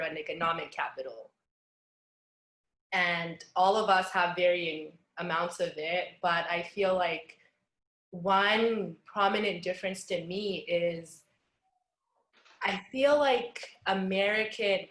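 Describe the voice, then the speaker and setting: A woman's voice: fairly low pitch, fairly bright tone, echoey room, rough recording. A young woman on a discussion panel, speaking reflectively and searching for words as she goes.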